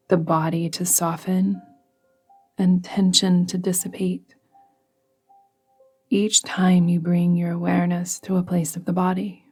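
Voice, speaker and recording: A woman guides a meditation very slowly, in an even, barely varying tone. Her voice is soft and breathy, with a creaky edge as phrases settle. She instructs gently and soothingly. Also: fairly low pitch. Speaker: yoga nidra guide. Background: music.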